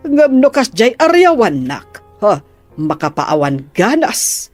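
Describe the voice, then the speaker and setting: A woman's voice: mid-range voice, sing-song, slow pace, darkish tone, no room sound, soft background music. A woman acting in a radio drama as an old, indignant grandmother who complains and grumbles. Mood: indignant, complaining.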